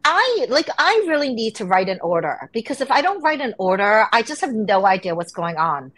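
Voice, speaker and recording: A middle-aged woman speaks from her home on a video call, her voice sitting a little high. She tells her stories with animation, reaching for quoted voices now and then. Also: a little fast. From Hong Kong and New York. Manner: animated storytelling. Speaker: guest author. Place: home room.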